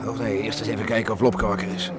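An actor playing a gruff, stubborn middle-aged island beachcomber and father in a Dutch radio play, muttering to himself and calling out. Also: on the low side, level tone, quick pace, close to an everyday voice. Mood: brooding, muttering. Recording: noisy old radio play with storm ambience.

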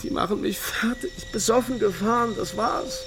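An actor playing a drunk, frightened East German secret police lieutenant who stammers and pleads in a naturalistic radio drama. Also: male voice, high-pitched, normal speed, gravelly, noisy background. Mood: urgent, scheming.

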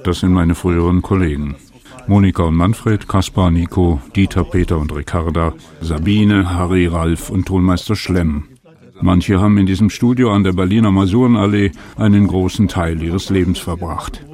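A man's voice: sits low, fairly even intonation, moderate pace, mellow. A man narrating a personal radio documentary in a reflective, wry, conversational way. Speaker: radio feature author and narrator.

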